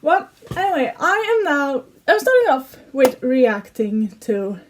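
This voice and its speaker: Swedish girl chatting, kinda high voice, slow and giggly, bit broken english. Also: big pitch swings. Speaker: YouTuber reacting to songs. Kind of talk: casual reaction vlog.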